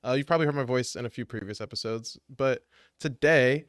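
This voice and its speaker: A man's voice: mid-range voice, a little fast, clear, resonant tone, dry recording, studio quality. A young man hosting a casual podcast interview, laid-back, with lots of "yeah" and "uh" fillers. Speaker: podcast host and editor.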